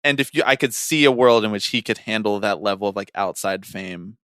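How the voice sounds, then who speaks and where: A man's voice: on the low side, brisk, fairly bright tone, dry recording, studio quality. A man co-hosting a podcast in casual banter, with a teasing, opinionated way of talking. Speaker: podcast co-host.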